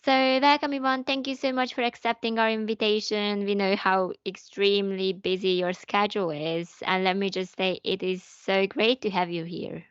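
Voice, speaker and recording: A woman's voice: medium pitch, level tone, normal speed, few pauses, clear, resonant tone, dull audio. A young woman hosting a podcast, introducing a guest in an upbeat manner with fillers and light laughs. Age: young adult. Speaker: podcast host.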